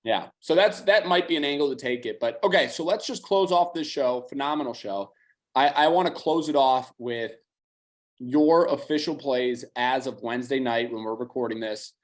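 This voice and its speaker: A slightly hoarse man co-hosting a podcast, running through picks in quick, analytical chat and dropping the odd curse. His voice sits at an ordinary middle pitch. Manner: fast, analytical, casual swearing. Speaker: podcast co-host. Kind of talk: casual betting chat.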